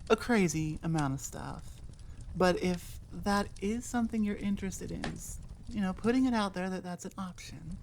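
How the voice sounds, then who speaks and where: A woman audio creator chatting casually to her listeners in a rambling, halting, self-deprecating way. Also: medium pitch, a little mellow, a little hiss or hum. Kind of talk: casual outro chat to listeners.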